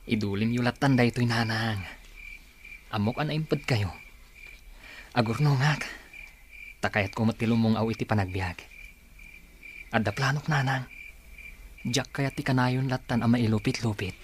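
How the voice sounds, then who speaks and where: A man's voice: mid-range voice, moderate pace, pauses often, slight background noise, natural voice. A man acting a dutiful, hopeful son in a radio drama. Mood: earnest. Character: dutiful, hopeful grown son with big dreams.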